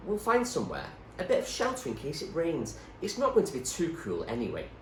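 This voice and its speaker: Storybook boy companion, acted by a man: raised pitch, eager, earnest, slightly defensive, lively swings, echoey room. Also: brisk. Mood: practical. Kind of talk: character dialogue in a storybook reading. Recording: roomy echo, faint background noise.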